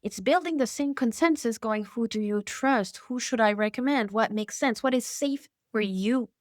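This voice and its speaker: A woman's voice: a little high, moderate pace, dry recording, clean studio sound. A woman from Hawaii, an expert guest in an interview, telling her points emphatically and with animation. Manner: animated, emphatic storyteller who laughs between points. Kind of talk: conversational interview.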